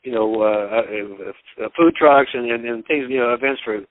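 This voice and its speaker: A man giving casual sports commentary as an interviewed broadcaster, in a halting, rambling, folksy way. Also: medium pitch, phone-quality sound.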